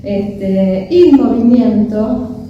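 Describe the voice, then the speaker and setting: A woman's voice: medium pitch, very slow. Argentine woman interviewer, dull distant sound. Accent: Argentine Spanish. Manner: hesitant, lots of "este" fillers, warmly curious. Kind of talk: conversational interview. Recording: echoey, distant and dull with faint noise.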